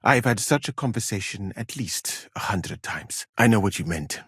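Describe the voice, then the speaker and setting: A man's voice: lowish voice, expressive, a bit bright, slightly rough, dry recording, studio quality. A man playing a proud, exacting medieval physician in a video game, speaking formally and precisely. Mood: indignant, proud. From Mali. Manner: formal, measured, precise wording. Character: proud, exacting foreign male physician in a medieval war camp. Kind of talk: dramatic video game dialogue.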